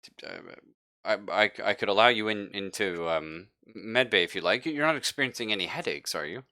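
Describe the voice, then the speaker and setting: Casting: man playing flustered sci-fi crewman, stammering, bright-toned and jittery, tabletop game. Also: on the low side, animated, no room sound, clean studio sound. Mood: nervous, flustered. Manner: stammering, halting, self-correcting. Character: nervous, flustered man in a spaceship med bay.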